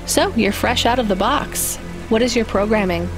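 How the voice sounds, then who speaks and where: An actress plays a young woman driving for a delivery outfit in a video game. Her voice sits a little high and she talks drily, with sarcasm and care. Music plays clearly behind her. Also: normal speed, natural voice, poor audio. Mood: friendly, curious. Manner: dry, sarcastic. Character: young female delivery driver, wry and caring.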